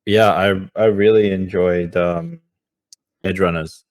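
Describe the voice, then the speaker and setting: A man's voice: deep voice, fairly even intonation, slow. A man co-hosting a casual podcast discussion, talking in a loose way and often repeating phrases as he goes. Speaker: podcast co-host.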